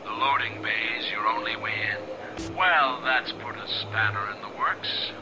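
A man's voice: on the low side, steady tone, measured pace, over music, lightly acted, low-quality recording. An actor playing a sadistic, mocking director who taunts his captive and gives orders in a video game. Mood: commanding. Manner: sardonic, taunting, theatrical. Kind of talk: dramatic video game voice acting.

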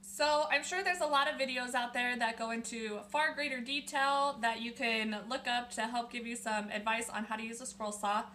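A woman's voice: fairly high pitch, fairly even intonation, normal speed, hardly any pauses, pushed and bright, a little hiss or hum. A young woman hosting a casual woodworking tutorial, chatting while she shows her tools in a small home workshop. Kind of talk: casual how-to demonstration. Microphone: camera on the bench, close.

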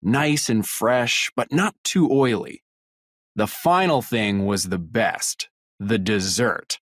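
A man reading a scripted listening exercise in a clear, measured way. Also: on the low side, fairly bright tone, no echo. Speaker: recorded listening exercise speaker. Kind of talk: scripted read-aloud.

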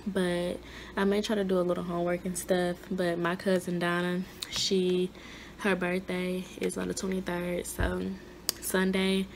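Hoarse young woman vlogging from her dorm. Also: lowish voice, completely flat delivery, measured pace. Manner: chatty, rambling, everyday. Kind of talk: casual vlog chat. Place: dorm room. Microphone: phone held at arm's length. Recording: noisy phone recording.

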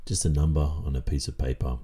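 An Australian man performing an ASMR roleplay as a stern, controlling boyfriend, speaking in a deliberate, measured way. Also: a bass voice, fairly even intonation, warm tone, slightly rough. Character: stern, controlling, possessive boyfriend lecturing his partner.

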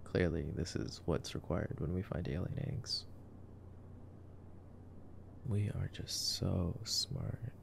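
A young man speaks slowly in a low voice thick with vocal fry. He gives casual, sarcastic commentary in an ASMR boyfriend style. His delivery is low-key and full of slang. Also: a little hiss or hum. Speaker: ASMR roleplay performer.

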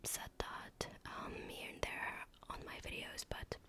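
A woman whose first language is French, whispering softly in a chatty ASMR gameplay talk with many fillers. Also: measured pace. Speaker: gaming ASMR creator. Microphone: desk microphone.

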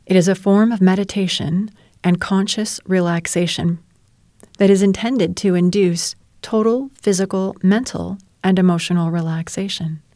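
A woman leading a guided relaxation as a meditation teacher, speaking in a calm, soothing and measured way. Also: medium pitch, dry recording, studio quality. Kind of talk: guided relaxation narration, yoga nidra.

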